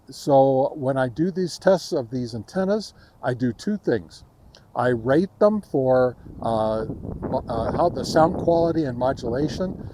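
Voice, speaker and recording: A man presenting an informal field test outdoors, speaking haltingly with many ums. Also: mid-range voice, dark tone. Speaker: ham radio video reviewer, host. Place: outdoors in a windy park.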